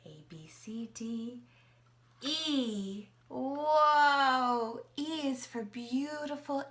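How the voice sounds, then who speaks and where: A woman's voice: high-pitched, drawn-out words, a bit bright. A woman from Canada reading a picture book aloud to a young child, asking questions and gasping at the pictures. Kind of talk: children's story reading with sing-along.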